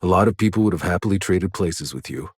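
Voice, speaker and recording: A man playing a gruff, wry, battle-worn soldier in a sci-fi video game. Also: deep voice, expressive, fast talker, no room sound, clean studio sound. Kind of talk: video game voice lines, dramatic acting.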